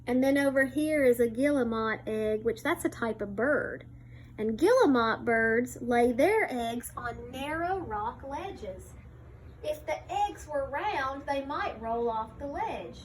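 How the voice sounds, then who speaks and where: A woman teacher reading a picture book aloud to young children, pausing to explain things simply and point them out. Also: high voice, expressive, slight background noise, rough recording.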